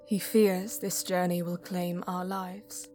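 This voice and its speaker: Solemn alien mystic woman, played by an actress, low and very unhurried, guarded and reverent, audio drama with prominent music. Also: little pitch movement. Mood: secretive, foreboding. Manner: measured, solemn, deliberate. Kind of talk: science fiction audio drama, poetic first-person narration.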